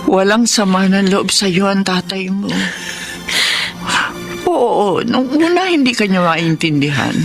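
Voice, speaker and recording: A woman acting a gentle, forgiving older widowed mother, tender and earnest in a television drama. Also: medium pitch, close to an everyday voice. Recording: loud music under the voice.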